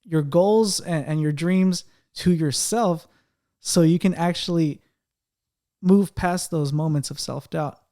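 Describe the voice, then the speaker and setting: A man with a fairly high voice and a slight stutter, hosting a podcast in casual conversation. He talks animatedly, with frequent restarts, and slips into other people's voices to make his point. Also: takes it slowly, mellow. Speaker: podcast host. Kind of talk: casual chat.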